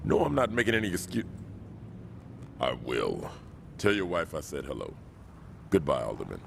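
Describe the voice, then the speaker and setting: Gravelly, very deep-voiced crime boss. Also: male voice, huge pitch swings, moderate pace, a little hiss or hum, full character voice. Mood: controlled, threatening. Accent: American. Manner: authoritative, blunt. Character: stern, domineering male crime boss.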